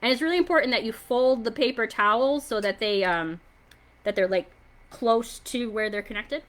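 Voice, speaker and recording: A woman hosting a children's story time, excitable and encouraging as she talks young viewers through a hands-on science demonstration in simple terms. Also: a little high, moderate pace.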